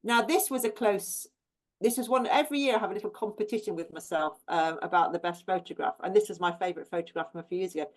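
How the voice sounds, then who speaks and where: A woman's voice: on the low side, fast talker. A woman who gardens for a living talks about her plants over a video call. She is chatty and easygoing, and her sentences break off and restart around little ums. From England. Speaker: professional gardener, guest.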